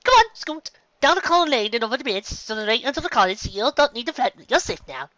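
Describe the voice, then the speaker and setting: A man's voice: very high-pitched, very animated, fast talker, pushed and bright, dry recording, muffled recording, pitched above the performer's own voice, exaggerated voice. A man voicing a cheery, fussing old mare who welcomes guests in a folksy way, in a storybook reading. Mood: brisk, reassuring. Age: older. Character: kindly, welcoming old mare, eccentric pony hostess.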